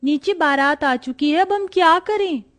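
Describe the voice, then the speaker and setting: A woman's voice: high voice, normal speed, faint music behind, lightly acted. A woman playing a concerned younger sister in a cartoon family story. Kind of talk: cartoon moral story dialogue.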